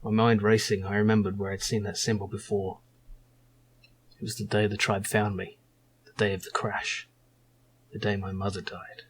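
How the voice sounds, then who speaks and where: A man's voice: lowish voice, little pitch movement, normal speed, pauses often, slight background noise, lightly acted. A man narrating as a young male survivor telling his own story in a wry, reflective storybook style.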